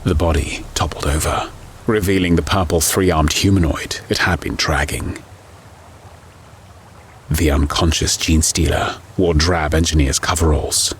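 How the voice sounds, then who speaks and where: A man narrating a dark science fiction story in a scripted, dramatic, measured and ominous style. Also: very deep voice, steady tone, a little hiss or hum. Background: music.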